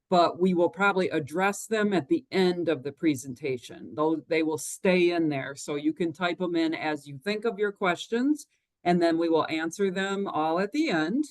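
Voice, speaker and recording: A woman's voice: lowish voice, normal speed, no room sound. A woman giving step-by-step housekeeping instructions at the start of an online presentation, in a friendly, practical way over a computer mic. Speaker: webinar co-host. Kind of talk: housekeeping announcements. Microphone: computer mic on a video call.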